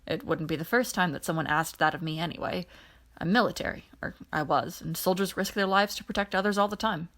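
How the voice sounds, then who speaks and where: A sober military man read by a woman talks seriously and gently. He comes across as a steadfast leader in an audiobook adventure. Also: on the low side, a little fast. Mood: grave, resolute.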